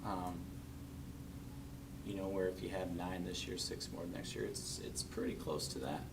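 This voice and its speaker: Man, very low voice, measured explanatory tone, distant mic, rumbling noise. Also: steady tone. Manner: measured, explanatory, with frequent fillers. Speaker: district administrator answering board questions. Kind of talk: public meeting discussion. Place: school board meeting room.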